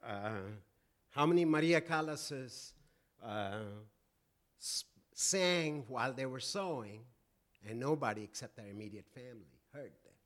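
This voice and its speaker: A man lecturing on economics to an audience, with a loud voice and frequent fillers and restarts. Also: medium pitch. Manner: loud, halting with many fillers. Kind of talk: public lecture.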